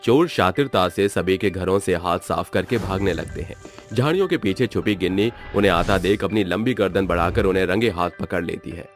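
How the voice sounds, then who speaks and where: Low-voiced male cartoon narrator. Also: expressive, fairly quick, soft background music. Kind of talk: scripted storybook narration.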